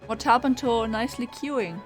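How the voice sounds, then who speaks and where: A woman's voice: on the high side, normal speed, over music. A woman streamer reacting chattily to a game in casual commentary.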